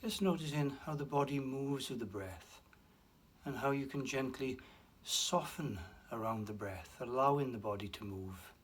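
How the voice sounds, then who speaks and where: A man guiding a relaxation meditation, speaking slowly and softly with long pauses and a breathy hush at times. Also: mid-range voice. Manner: slow, soft and soothing, with long pauses. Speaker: meditation guide. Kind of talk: guided meditation.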